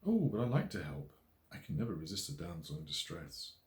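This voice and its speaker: A man's voice: deep voice, very animated, brisk, darkish tone. A man voicing a predatory, flirtatious male neighbour in an audiobook, suggestive and ingratiating. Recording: roomy, echoey voice recording.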